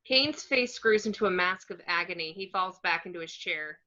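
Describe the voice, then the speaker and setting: A woman's voice: lowish voice, expressive, moderate pace, sharp tone. A woman narrating screenplay action descriptions in an amateur table read, on muffled lo-fi audio.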